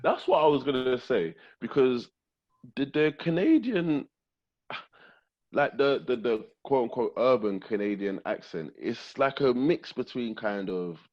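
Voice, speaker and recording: A man with a British accent hosting a podcast, chatting casually with a relaxed, agreeable manner and quick affirmations. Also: mid-range voice, dull audio. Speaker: podcast host. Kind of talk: casual interview chat.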